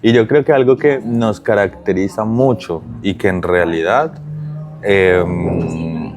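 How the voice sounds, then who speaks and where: A man in his thirties from Colombia with a strong Colombian accent, chatting casually as an interview guest, with many pauses and restarts. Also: deep voice, darkish tone, faint music behind. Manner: hesitant, many fillers and restarts. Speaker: guest, digital transformation consultant.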